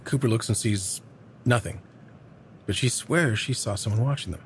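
An actor playing an earnest older small-town man in an audio drama, hesitantly recalling a dark local legend. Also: fairly low pitch, lightly acted. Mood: somber, reminiscing. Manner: hesitant, halting storytelling. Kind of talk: naturalistic audio drama acting. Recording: clear background ambience noise.

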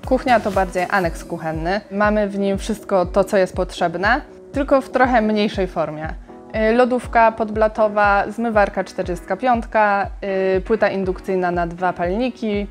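A woman with a mid-pitched voice talks through her design decisions in a calm, explanatory way, pausing on small fillers as she goes. Light music runs quietly behind her. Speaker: interior architect, guest.

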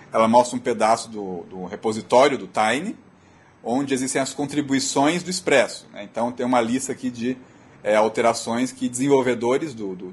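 A Brazilian man with a slightly husky voice giving a technical presentation in a halting, repetitive, explanatory way. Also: medium pitch. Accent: Brazilian Portuguese. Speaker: technical speaker. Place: auditorium.

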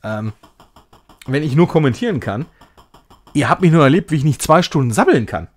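A man's voice: a little high, expressive, moderate pace. A man hosting a game stream, chatting casually and rambling as he thinks aloud while he plays. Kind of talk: casual live gaming commentary with chat.

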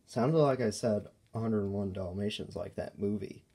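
A man giving a casual, unscripted show-and-tell as a toy reviewer, speaking in halting, broken phrases. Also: on the low side, warm tone, slightly rough. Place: indoors at a table by a window.

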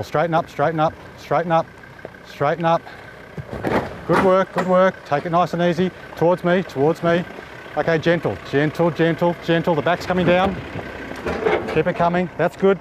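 Man, off-road spotter, repetitive encouraging instructions, narrow pitch range, clearly noisy outdoor recording. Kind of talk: short calm driving directions. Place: outdoors on a forest track.

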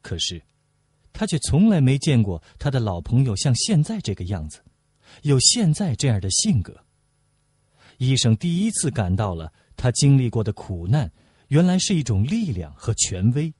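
Mellow, husky male audiobook narrator. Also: mid-range voice, big pitch swings, moderate pace, no echo.

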